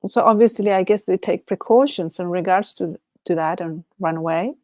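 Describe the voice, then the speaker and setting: A woman's voice: fairly low pitch, normal speed, a little mellow, no room sound, clean studio sound. Chilean-accented woman musing on a livestream. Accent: Chilean Spanish. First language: Spanish. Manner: musing, rambling, laughs often, trails off and restarts. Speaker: livestream host. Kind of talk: casual solo livestream chat.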